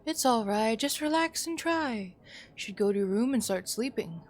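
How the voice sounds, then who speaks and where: A woman voicing a polite, mildly flustered adult who fusses gently, in a visual novel. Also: fairly high pitch, expressive, normal speed, close to an everyday voice. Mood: concerned.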